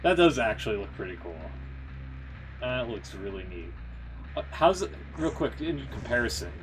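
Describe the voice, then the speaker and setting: A man's voice: mid-range voice, sing-song, moderate pace, background noise. A man giving casual livestream game commentary, thinking aloud in an informal way with muttered asides. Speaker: livestream host, gamer. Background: background music.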